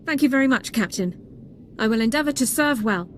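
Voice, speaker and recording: A woman's voice: a little high, expressive, fairly quick, a little hiss or hum, close to an everyday voice. A woman playing a prim, by-the-book army sergeant in a video game, speaking formally and precisely. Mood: composed, earnest. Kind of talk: scripted video game acting.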